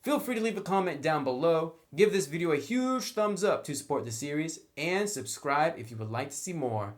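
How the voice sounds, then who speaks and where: A man's voice: a little high, expressive, normal speed. A man hosting a video review, speaking casually with emphatic, informal opinions. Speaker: game reviewer, video host.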